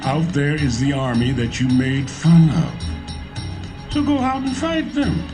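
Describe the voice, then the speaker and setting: Scheming town ruler, lively pitch, scornful, audio drama, music under. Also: male voice, takes it slowly. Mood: mocking. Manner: mocking, scornful. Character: scheming town ruler Zebul, adult man.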